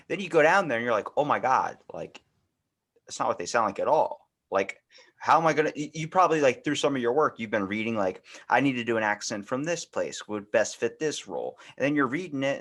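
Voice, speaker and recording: A man's voice: medium pitch, fairly bright tone. An American man hosting a podcast in casual chat, rambling and acting out little quoted remarks as he goes. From United States. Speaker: podcast host.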